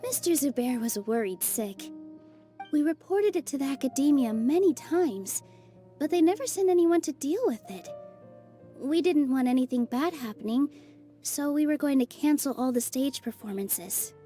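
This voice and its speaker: An actress voicing a cheerful, eager young female dancer in a video game, with a bubbly, animated way of talking. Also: sits high, normal speed. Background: game soundtrack music.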